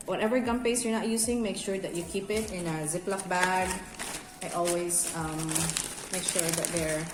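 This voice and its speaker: A Filipino-accented woman, a cake decorating instructor, talks viewers through a hands-on craft tutorial in a conversational, instructive way. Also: lowish voice. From Bacolod, Philippines. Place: indoor room, echoey. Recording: echoey room with music behind.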